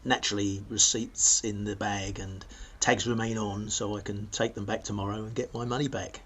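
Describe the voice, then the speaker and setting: A man's voice: fairly low pitch, flat delivery, normal speed, fairly bright tone, a little hiss or hum, close to an everyday voice. An actor with a British accent playing a self-satisfied, penny-pinching money-saving vlogger who chats matter-of-factly to his viewers in a radio play. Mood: upbeat, chatty. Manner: chatty, matter-of-fact, addressing viewers. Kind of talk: radio play monologue as a vlog.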